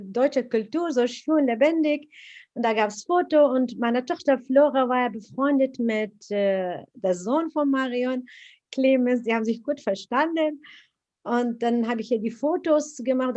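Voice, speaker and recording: Earnest woman, slightly high voice. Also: lo-fi sound. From Iraq. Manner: earnest, animated retelling with many hesitations. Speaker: interviewee. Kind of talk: personal storytelling interview.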